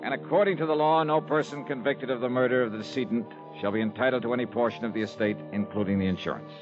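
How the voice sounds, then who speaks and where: A man's voice: mid-range voice, fast talker, close to an everyday voice. Guy playing tough weary detective in old radio show, clipped and dry, music behind, kinda dull sound. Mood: dry, businesslike. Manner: terse, sardonic, clipped. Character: hard-boiled, world-weary male insurance investigator. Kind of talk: 1960s radio drama acting and narration. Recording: muffled old radio recording. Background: dramatic music.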